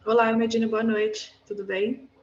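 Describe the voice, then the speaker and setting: A woman's voice: a little high, flat delivery, moderate pace, gravelly, a little mellow, soft background music. A woman moderating an online panel over a video call. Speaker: event host and moderator. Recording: muffled online call with noise.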